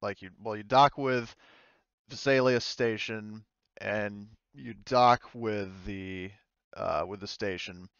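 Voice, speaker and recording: A man acting as game master, narrating a tabletop roleplaying game in a halting, conversational way. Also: lowish voice, steady tone, gravelly, fairly bright tone, clear, resonant tone, no echo, highs cut off.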